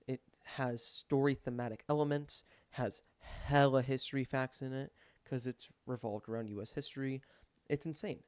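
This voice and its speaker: A man's voice: mid-range voice, gravelly, darkish tone, dry recording. A man giving casual, rambling opinion commentary in informal language with some swearing. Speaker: video creator.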